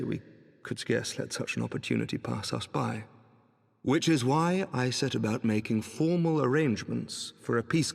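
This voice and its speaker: A pious, courtly dignitary from a fantasy game. His medium-pitched voice has a gravelly creak and moves in formal, gracious phrases. It carries a long hall reverb. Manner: formal, measured oratory. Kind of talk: dramatic fantasy game acting.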